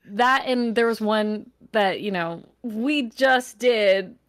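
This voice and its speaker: A woman's voice: on the high side, slow pace, clear, resonant tone, no echo, studio quality. A woman chatting casually as a voice actor guest, giggly, playful and blunt. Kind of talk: casual chat, answering interview questions.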